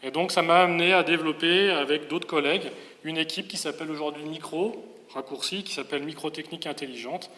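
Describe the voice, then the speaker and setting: A man's voice: on the high side, quick pace, pushed and bright. Man lecturing in an echoey hall. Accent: French, metropolitan. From Paris region, France. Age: 40s. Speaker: engineering professor giving a public lecture. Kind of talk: public lecture, semi-spontaneous explanation. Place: large reverberant lecture venue. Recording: echoey hall with long reverb.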